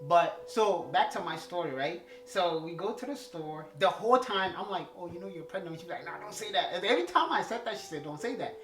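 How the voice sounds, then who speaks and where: A man's voice: high-pitched, lively intonation, fairly bright tone. A young man with a Ghanaian accent talks in a casual, rambling way, stopping and restarting his sentences. He sits in an echoey room with music playing underneath. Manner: rambling, repeats himself, halting restarts. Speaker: co-host. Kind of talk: casual couple chat.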